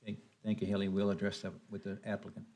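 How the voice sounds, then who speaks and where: A man with a somewhat low voice runs a public meeting. He is brisk and matter-of-fact as he moves from one speaker to the next. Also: monotone, darkish tone. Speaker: commission chair.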